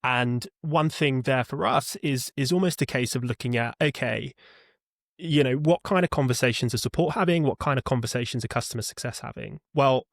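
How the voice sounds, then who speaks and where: A man talking as a podcast guest, explaining his work conversationally and haltingly, often repeating words and correcting himself. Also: mid-range voice, creaky, no echo, clean studio sound. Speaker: podcast guest, customer support leader.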